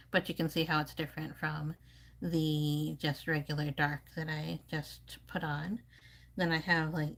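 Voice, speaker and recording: Low, creaky-voiced woman talking while painting. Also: fairly bright tone. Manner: rambling, thinking aloud with hedging. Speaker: art streamer teaching painting. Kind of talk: casual live painting commentary.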